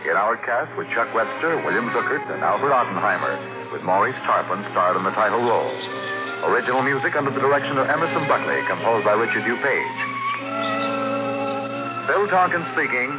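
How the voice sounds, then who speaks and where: Loud music plays under a vintage radio broadcast. An American man with a mid-range voice announces formally, with measured emphasis. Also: mid-range voice, expressive, poor audio. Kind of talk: 1940s radio announcing, scripted. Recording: old radio broadcast recording. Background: loud dramatic music.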